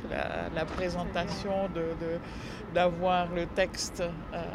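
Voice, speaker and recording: A woman's voice: lowish voice, level tone, slow, very noisy. A woman visitor talking in a vox pop interview, stumbling and repeating words. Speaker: exhibition visitor.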